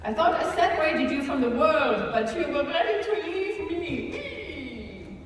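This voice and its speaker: A woman's voice: high-pitched, very animated. A woman playing a menacing, possessive, gloating witch in a live comic fairy-tale reading. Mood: vindictive. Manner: slow, taunting, theatrical. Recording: distant echoey hall, crowd and noise.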